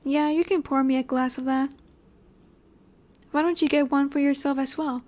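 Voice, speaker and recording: A woman acting a high-pitched, teasing alpha she-wolf. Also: steady tone, darkish tone, natural voice. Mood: intrigued, seductive. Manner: teasing, sultry, unhurried with drawn-out pauses. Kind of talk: roleplay audio acting addressed to the listener. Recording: bar chatter in the background.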